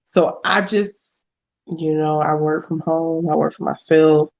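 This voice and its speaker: A woman's voice: deep voice, steady tone, measured pace, darkish tone, dull audio. A woman guesting on a podcast, talking in a blunt, playful, casual way. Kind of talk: casual chat.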